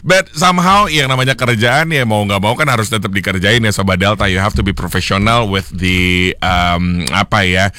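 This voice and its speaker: A young man hosting a solo radio show, chatting casually with listeners and slipping English phrases into his talk, with a slightly hoarse throat. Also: fairly low pitch, normal speed, few pauses, sharp tone. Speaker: radio host. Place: radio studio. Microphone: studio microphone.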